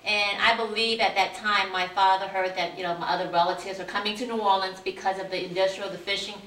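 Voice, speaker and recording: A woman in her forties from Vietnam recounts her path in an earnest, even way, her voice bright and forward. The mic sits some way off in a reverberant room, with a faint hiss underneath. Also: medium pitch, quick pace, distant mic. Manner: earnest, steady storytelling. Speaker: city council candidate. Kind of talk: interview answers, life story.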